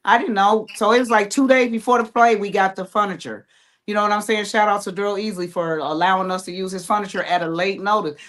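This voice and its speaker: A woman's voice: medium pitch, steady tone, fast talker, a bit bright. A woman chatting casually as a playwright on a panel, plain-spoken and encouraging. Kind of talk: casual chat.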